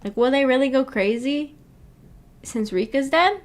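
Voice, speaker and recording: A woman's voice: high voice, expressive, measured pace. A woman hosting a livestream in a casual, rambling way, hesitant as she thinks aloud. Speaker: livestream host.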